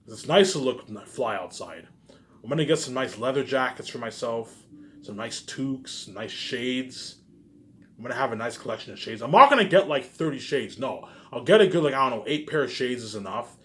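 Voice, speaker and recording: A man vlogging in a casual, rambling monologue, boastful and repetitive, swinging between excited bursts and muttered asides. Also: medium pitch, a little fast, slight background noise. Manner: repetitive, with emphatic catchphrases; boastful, self-assured.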